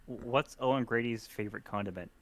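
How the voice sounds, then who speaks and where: A man's voice: mid-range voice, fairly quick. A man chatting casually and setting up jokes among friends. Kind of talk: casual joking banter.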